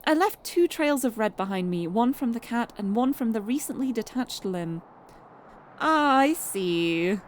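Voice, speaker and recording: High-voiced woman streamer reading a visual novel. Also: animated, a little slow, slight background noise. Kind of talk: live read-aloud of visual novel narration with casual asides. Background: music.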